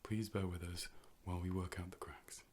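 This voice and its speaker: A man playing a calm, polite robotic AI optician in an ASMR role play, speaking in a measured, formal, deadpan way. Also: sits low, natural voice. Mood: hushed, clinical. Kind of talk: ASMR medical role play, personal attention.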